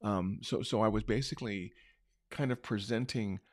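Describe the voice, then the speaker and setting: A middle-aged man hosting a marketing show, talking unscripted in a loose, conversational way with a big booming voice. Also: moderate pace, dry recording, clean studio sound. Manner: unscripted, conversational, many restarts. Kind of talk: casual talk-show recap.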